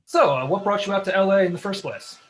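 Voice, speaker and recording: A man's voice: high-pitched, fast, natural voice. A man playing a casual, nerdy guy making bar small talk in a comedy table read. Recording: noisy microphone with room ambience.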